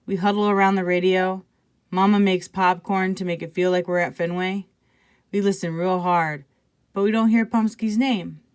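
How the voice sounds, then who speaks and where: A woman's voice: medium pitch, smooth. A woman teacher reading a children's picture book aloud expressively, with casual asides to her listeners.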